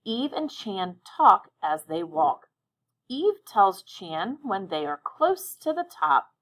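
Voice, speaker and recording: A woman teaching young children to read, speaking directly and encouragingly to them with deliberate, instructional phrasing and check-in questions. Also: medium pitch, big pitch swings, a bit bright. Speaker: elementary reading teacher.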